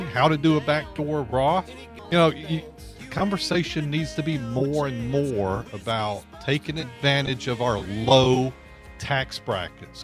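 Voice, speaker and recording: A man, hosting a live financial talk radio show in a chatty way, often repeating words as he goes. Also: medium pitch. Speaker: radio host. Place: radio studio. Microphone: studio microphone.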